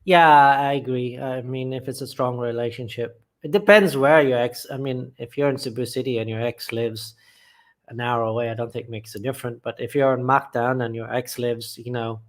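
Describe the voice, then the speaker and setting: A man from England runs a casual live chat on his channel. He reads viewer comments aloud and answers each one with plain, blunt opinions, speaking at a middling pitch in an everyday, conversational way. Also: steady tone, moderate pace. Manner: matter-of-fact, blunt opinions. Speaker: channel host.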